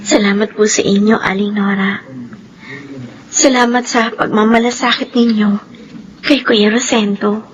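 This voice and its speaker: A woman's voice: mid-range voice, steady tone, a little slow, pauses often, dull audio, lightly acted. A woman playing a polite, earnest young student in a Filipino radio drama, speaking respectfully. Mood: grateful. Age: young adult. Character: polite, grateful young student, worried for her brother.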